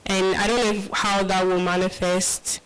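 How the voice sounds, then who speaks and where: A woman speaking on a panel in a measured way, often hedging her points. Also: medium pitch, flat delivery, clear, resonant tone, low-quality recording. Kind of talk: panel discussion.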